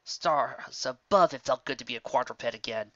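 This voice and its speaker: A man's voice: medium pitch, moderate pace, fairly bright tone, no room sound, dull audio, lightly acted. A man voicing a playful, affectionate young female unicorn musician in an exclamatory, teasing way for a storybook. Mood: relieved.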